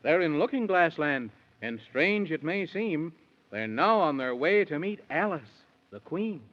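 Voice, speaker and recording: A genial storybook narrator reciting in rhyme for children. The delivery is 1930s radio storytelling, on a dull, muffled old recording. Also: male voice, fairly high pitch, big pitch swings, unhurried.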